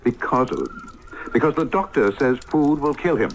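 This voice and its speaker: An actor playing a scheming, flustered friend of a starving painter in a theatrical old-time radio comedy style. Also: male voice, mid-range voice, moderate pace, natural voice. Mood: exasperated, urgent. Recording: noisy old radio drama recording.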